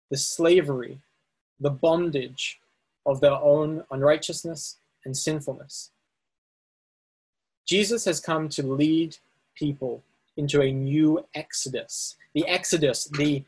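Husky man teaching a Bible lesson. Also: on the high side, poor audio. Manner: measured, explanatory, with frequent fillers and restarts. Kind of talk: teaching a Bible study session. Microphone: presentation recording with on-screen slides.

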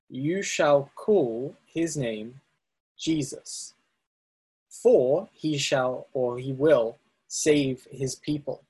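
A man's voice: a little high, darkish tone, husky, poor audio. A man teaching a Bible study session, explaining step by step with fillers and restarts, speaking to slides on a screen. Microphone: presentation recording with on-screen slides.

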